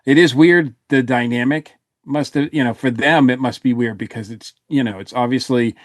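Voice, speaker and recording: A man with a halting, circling way of talking, giving his take as a panelist in a casual review. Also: mid-range voice, no echo. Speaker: podcast panelist reviewing audio drama.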